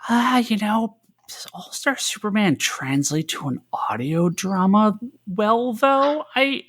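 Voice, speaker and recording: Male podcast co-host, bright forceful voice, very animated pitch, stumbling and repetitive, casual chat. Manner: halting, repeats words, mimics own reactions in mock quotes. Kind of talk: casual comics discussion.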